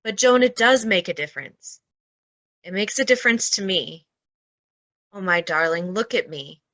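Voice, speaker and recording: A kind female defense lawyer , acted by a woman, with a bright, forceful voice. She is earnest and imploring, in the manner of a radio play. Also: medium pitch, animated, moderate pace. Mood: earnest pleading. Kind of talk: old-time radio drama acting.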